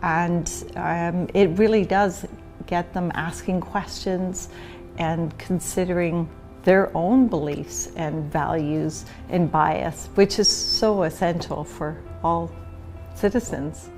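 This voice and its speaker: Woman teacher talking earnestly about her class, lowish voice, soft music under it. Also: fairly even intonation, slow. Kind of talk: recorded testimonial.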